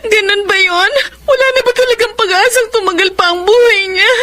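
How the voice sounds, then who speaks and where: An actress playing a distraught Filipino woman in a radio drama, with halting, emotional delivery. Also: very high voice, sharp tone. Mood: tearful. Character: distraught woman fearing for a dying relative.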